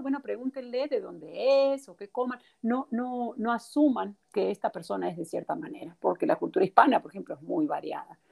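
Husky-voiced Uruguayan woman, gravelly. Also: medium pitch. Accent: Uruguayan Spanish. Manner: thoughtful, fills pauses with "este". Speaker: guest, Spanish teacher. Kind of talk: conversational interview.

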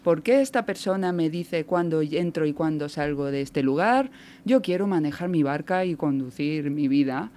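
A chatty woman from Spain speaks with a neutral Spanish accent. She is a guest in a casual radio conversation and tells her stories in an animated way. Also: low voice, normal speed.

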